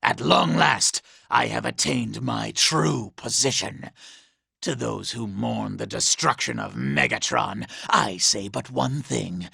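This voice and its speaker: A man voicing a vain, scheming, treacherous robot villain in a video game, raspy and gravelly, with a sneering, pompous, theatrical delivery. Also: fairly low pitch, animated, slow pace, sharp tone, no room sound, clean studio sound, full character voice. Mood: smug. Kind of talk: video game character acting.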